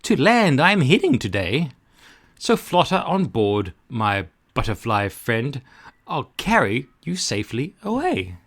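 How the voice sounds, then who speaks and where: A helpful storybook octopus acted out by a man marvels in rhyme. The delivery is friendly and delighted, with pitch that swings widely. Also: big pitch swings, a little slow, a bit bright. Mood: delighted, welcoming. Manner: marvelling, rhyming.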